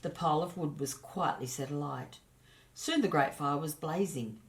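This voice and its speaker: Low-voiced woman reading a children's story. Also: moderate pace. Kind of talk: scripted children's story narration.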